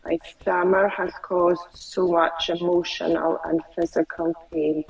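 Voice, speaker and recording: A woman client who stutters, slowly repeating phrases after her coach over a video call. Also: fairly low pitch, level tone, vocal fry, recorded from a distance, poor audio.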